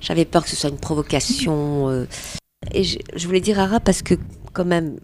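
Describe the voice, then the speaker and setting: A woman's voice: sits low, moderate pace, slight background noise. A woman author in a radio interview, earnestly retelling a historical story and quoting what people said. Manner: earnest, animated retelling with quoted speech.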